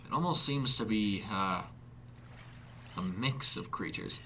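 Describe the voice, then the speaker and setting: A man's voice: lowish voice, lively intonation, measured pace, sharp tone, slight background noise. A man voicing a curious, firm inspector with a dry, questioning manner in a narrated story. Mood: thoughtful.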